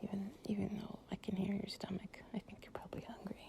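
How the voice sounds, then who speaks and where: A woman speaking softly, often in a breathy whisper, in an intimate, affectionate and soothing improvised girlfriend ASMR roleplay. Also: medium pitch, little pitch movement, quick pace. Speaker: audio roleplay performer playing an affectionate girlfriend.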